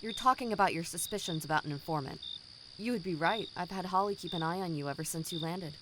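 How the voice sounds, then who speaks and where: A woman's voice: on the low side, fast talker, noisy background, lightly acted. A woman playing a cold, condescending company boss in an audio drama, formal, smug and menacing. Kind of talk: scripted audio drama acting.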